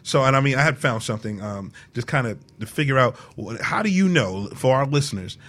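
A man's voice: mid-range voice, lively intonation, a little fast, fairly bright tone. A man co-hosting a casual radio discussion, talking conversationally and putting questions to the listeners. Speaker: talk show co-host.

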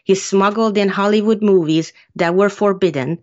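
A woman from Hungary, an author answering interview questions in a measured, earnest way. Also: medium pitch. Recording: muffled lo-fi sound.

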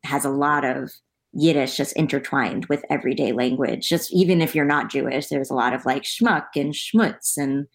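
A woman's voice: a bass voice, moderate pace, gravelly. A woman from the United States, a guest in a conversational interview, explaining things thoughtfully with frequent ums.

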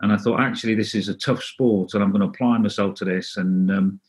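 Halting, low-voiced man from North Wales. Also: fairly even intonation, darkish tone. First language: Welsh. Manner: halting, many restarts and repeated words. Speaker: interview guest. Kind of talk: casual interview talk.

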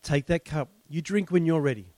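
A man's voice: on the high side, a little fast, dark tone, dry recording. A male pastor leading communion, preaching in a fervent, declarative way with lots of repetition.

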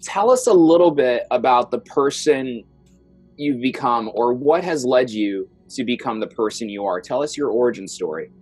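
A man's voice: medium pitch, animated, normal speed, a little mellow, husky, faint music behind. A man hosting a health podcast, introducing his guest with enthusiasm and a few filler ums. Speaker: podcast host. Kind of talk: casual interview hosting.

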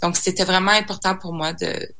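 A woman's voice: on the low side, fairly even intonation, fairly quick, pushed and bright. A young woman from Saskatchewan, a singer guest in a casual interview, talking hesitantly with many fillers and restarts and slipping in English words. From Saskatchewan, Canada.

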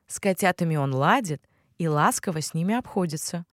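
A woman's voice: lowish voice, animated, a little fast, dry recording, clean studio sound, close to an everyday voice. A woman playing a kind old village grandmother. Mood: gentle.

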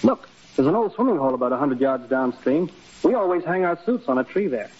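A breezy young charmer in a vintage radio play. His teasing voice is a little high and very animated, on a dull recording. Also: fast talker. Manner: teasing, cocky, boyish. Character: cheeky, breezy young male suitor. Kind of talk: 1930s radio drama acting. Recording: muffled, hissy 1930s radio recording.

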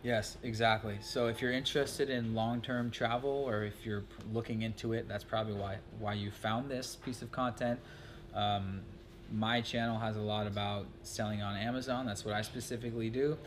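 A man's voice: lowish voice, fairly even intonation, normal speed. A man hosting a travel vlog, talking in a loose, rambling, chatty way. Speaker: vlogger host. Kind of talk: casual chat to camera. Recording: noisy ambient background.

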